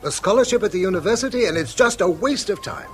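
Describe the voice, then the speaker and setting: A man's voice: high voice, expressive, quick pace, faint music behind. An actor playing a proud, disapproving middle-aged English schoolmaster father, speaking formally in a period radio drama. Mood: cold, sarcastic. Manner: formal, clipped, reproachful.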